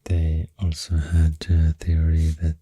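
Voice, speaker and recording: A man with a Swedish accent speaking softly and breathily, often close to a whisper, in a soothing ASMR ramble, close to the microphone. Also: completely flat delivery, no room sound. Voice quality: deep, soft, breathy, often near whisper. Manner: slow, hushed, soothing. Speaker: ASMR host. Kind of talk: soft-spoken ASMR rambling.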